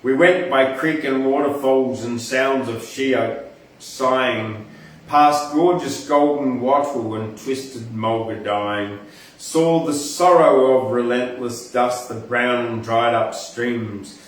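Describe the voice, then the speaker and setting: A man's voice: mid-range voice, fairly even intonation, slow. An Australian man hosting an informal poetry reading, reciting verse and rambling through chatty asides as he thinks aloud. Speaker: poetry podcast host and reader. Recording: echoey, distant mic, faint background noise.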